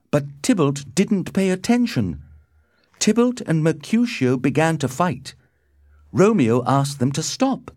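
Man voicing an earnest young friend, pitched up. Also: darkish tone, no room sound, clean studio sound, full character voice. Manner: emphatic, earnest. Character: loyal, urgent young friend giving an account.

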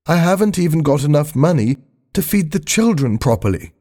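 A man's voice: on the high side, big pitch swings, a little fast, a little mellow, voice pushed up. A man reading aloud, voicing a kind but worried mother in a raised, anxious put-on voice.

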